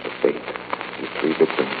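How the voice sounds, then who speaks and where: An actor playing a calm, confident gentleman sleuth in 1940s radio mystery style, giving measured instructions. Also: close to an everyday voice. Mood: hushed, watchful. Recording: tinny, noisy 1940s radio recording.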